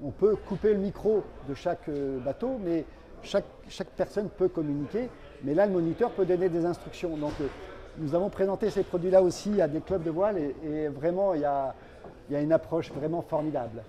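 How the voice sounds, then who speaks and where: A male company representative with a soft, mellow tone set a little high. He explains products as an interview guest, feeling his way through sentences and often repeating a word. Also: fairly quick.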